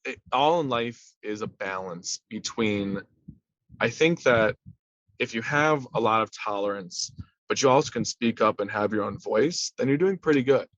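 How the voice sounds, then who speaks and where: A young man, a middle school teacher, chatting as a podcast guest in an animated way, acting out little quoted exchanges. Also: fairly low pitch, moderate pace, no room sound. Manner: animated, acts out voices in quoted asides. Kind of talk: casual conversational interview. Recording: dry, slightly dull remote audio.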